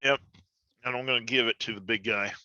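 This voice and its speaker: A man talks casually as a player in a tabletop game. His voice is very bright and pressed, at a medium pitch. The recording is slightly lo-fi and narrow in tone. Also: fairly even intonation, a little fast. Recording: slightly dull, band-limited sound.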